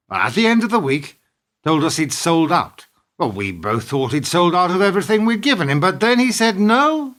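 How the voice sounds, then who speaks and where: A man playing a principled but embittered business partner in a radio play. His voice sits fairly high for a man, and he needles with dry, measured sarcasm. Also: fairly bright tone.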